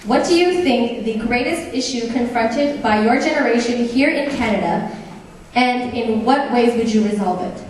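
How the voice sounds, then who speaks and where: A woman's voice: fairly high pitch, normal speed, a little hiss or hum. A woman reading pageant questions aloud to the candidates. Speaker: question reader. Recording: echoey theatre from far off.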